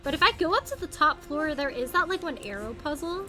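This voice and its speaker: A woman's voice: high voice, lively intonation, a little fast. A woman live-streaming a game, chatting casually to viewers and thinking aloud in a playful, exclamatory way. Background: music behind the voice.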